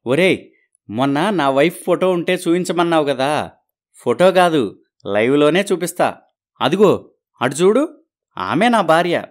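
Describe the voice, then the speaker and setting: An actor playing a sly, boastful married man in a cartoon story, smooth-talking and evasive. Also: a little high, animated, a little slow, pauses often. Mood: smug.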